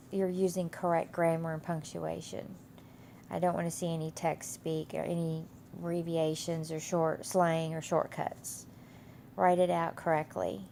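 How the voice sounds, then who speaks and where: Stuffy-nosed woman teacher with a gravelly voice. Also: fairly low pitch, mellow, a little hiss or hum. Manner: rambling with many fillers and restarts. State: has a cold, stuffy nose. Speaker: instructor. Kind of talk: casual screen-share course walkthrough.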